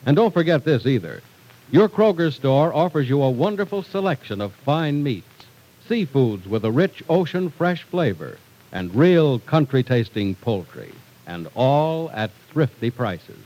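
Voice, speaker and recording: A man announcing in a polished, deliberate 1940s radio style, reading scripted narration and sponsor copy. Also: mid-range voice, big pitch swings, mellow, poor audio.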